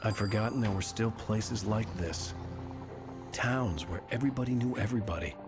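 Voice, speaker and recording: A man's voice: on the low side, moderate pace, over music, lightly acted. An actor playing a weary, reserved, dry-witted male writer in a video game, speaking tersely. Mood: polite, wry. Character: weary, guarded, dry-witted city man, famous writer.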